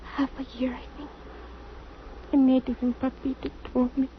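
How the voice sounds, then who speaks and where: An actress playing a frightened young island woman in old-time radio drama, hushed, hesitant and plaintive. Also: high voice, little pitch movement, measured pace. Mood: hushed, uncertain. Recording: muffled, noisy old radio recording.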